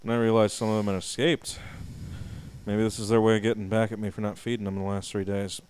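A man's voice: fairly low pitch, level tone, fast, smooth, no room sound. A young man co-hosting a game playthrough, reading a diary entry aloud with mock drama and joking with his partner. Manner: laughs loudly, reads the diary with mock drama. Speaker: let's play co-host.

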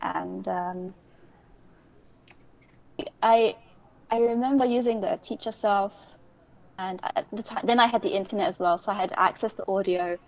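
A woman's voice: mid-range voice, unhurried, gravelly, long pauses, rough recording. Chatty young London woman, podcast guest. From England. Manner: chatty, frequent ums and self-corrections. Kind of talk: casual conversational storytelling.

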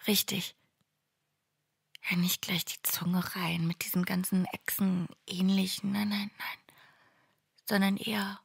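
A woman playing a dreamy, smitten angel in a German radio play, talking haltingly. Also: medium pitch, creaky, slightly rough, no echo, clean studio sound, full character voice. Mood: hushed, smitten.

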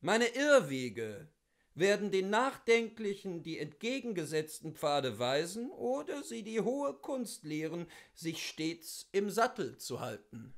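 High-pitched man, animated literary reader. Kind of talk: expressive literary reading of a memoir.

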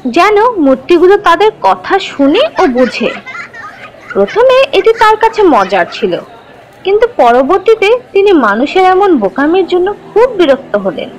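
High-pitched, expressive young storyteller. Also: female voice, slight background noise, heavily acted, rough recording. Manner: expressive, instructive. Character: young storyteller teaching children religious tales. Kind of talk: scripted storytelling for children.

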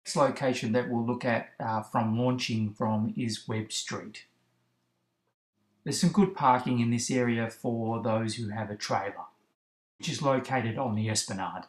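A man talks through fishing directions in a steady, instructive voice that sits in an ordinary middle range. The recording has a roomy echo around it. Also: medium pitch, a little slow. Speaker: fishing channel host. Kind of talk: instructional voice-over about kayak fishing spots.

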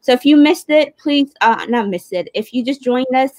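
A woman's voice: sits high, moderate pace, a little mellow, dry recording. A woman casually co-hosting a livestream over a video call. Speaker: livestream co-host. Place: indoor room at home, on video call. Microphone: video call device.

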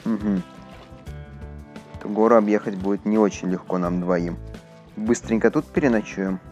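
A man's voice: lowish voice, moderate pace, a little mellow, faint music behind. A man doing casual, chatty let's play commentary, talking to his viewers as he plays. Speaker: gaming YouTuber.

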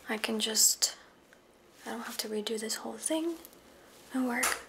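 A young woman whispers slowly in an ASMR style, close to the microphone. Her voice sits fairly high and she sounds candid and informal. There is clear noise on the recording, with small clicks. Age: young adult. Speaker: ASMR creator. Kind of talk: ASMR roleplay bloopers, whispered and soft-spoken. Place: small decorated bedroom studio indoors.

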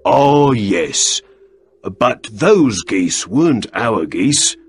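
A man's voice: mid-range voice, sing-song, drawn-out words, soft background music. A man voicing a friendly, chatty male pub landlord.

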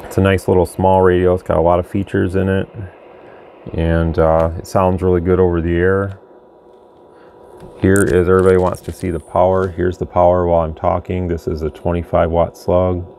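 A man's voice: sits low, flat delivery, normal speed, vocal fry, warm tone. A man giving a casual, plain-spoken, rambling product demonstration as a channel host at his indoor workbench. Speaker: radio bench reviewer, channel host. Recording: faint background noise, room ambience.

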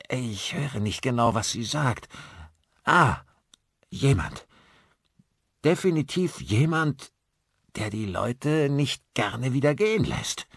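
A man voicing an aging, eccentric rocker turned spirit medium in an audiobook, speaking in a halting, urgent, intense way. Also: mid-range voice, gravelly, a bit bright, slightly rough, no room sound, clean studio sound, pitched above the performer's own voice, heavily acted. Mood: ominous.

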